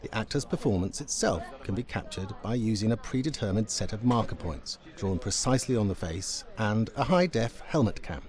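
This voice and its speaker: A man's voice: on the low side, lively intonation, fairly quick, hardly any pauses, darkish tone. A man giving scripted documentary narration as a game's creative director. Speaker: game executive creative director. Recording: music bed behind narration. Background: background music.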